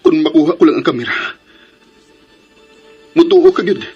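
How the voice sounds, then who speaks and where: Radio drama lover: high-pitched male, earnest and melodramatic, pleading his devotion, over prominent music. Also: wildly swooping pitch, normal speed, breathy edge, full character voice. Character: lovestruck, pleading man insisting he is her lost sweetheart. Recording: dull radio drama recording, music bed.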